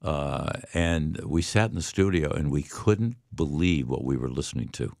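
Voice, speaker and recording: An older man from Detroit, a radio veteran guest telling relaxed, rambling stories with easy laughs. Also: rumbling low, normal speed, creaky, no room sound, clean studio sound. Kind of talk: casual storytelling.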